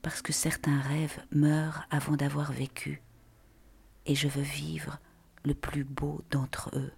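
Slow, breathy, creaky literary reader. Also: mid-range voice, completely flat delivery, a little mellow. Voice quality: breathy, half-whispered. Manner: measured, deliberate phrasing. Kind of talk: scripted literary reading.

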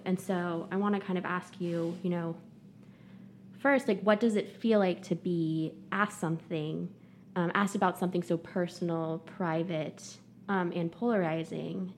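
A woman's voice: on the low side, a little hiss or hum. A woman hosting a podcast, asking careful questions in a casual conversational way with many fillers. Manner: warm, tentative questioning with frequent fillers. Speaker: podcast host.

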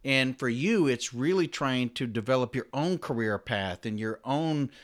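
A man's voice: mid-range voice, animated, measured pace. A man hosting an interview, asking long rambling questions and explaining ideas at length. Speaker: podcast host. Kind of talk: conversational interview.

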